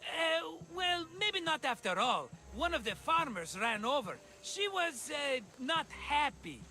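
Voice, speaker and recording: A man playing an excitable, scheming merchant in a video game, chatty, dramatic and wheedling. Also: extremely high pitch, slow pace, exaggerated voice. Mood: sheepish. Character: excitable scheming cheerful male merchant, always broke. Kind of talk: video game cutscene acting.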